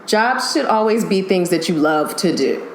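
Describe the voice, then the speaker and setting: A woman's voice: fairly low pitch, normal speed. A woman in her fifties, a TV makeup artist, telling stories as a guest in a casual podcast chat, with frequent laughter. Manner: animated storyteller, laughs often. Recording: echoey room with faint noise.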